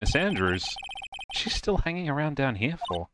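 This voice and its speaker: A man's voice: medium pitch, sing-song, normal speed, a bit bright, a little hiss or hum. A man voicing a dry, kindly defense lawyer in a video game dub, with a mild manner. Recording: game music behind the voice.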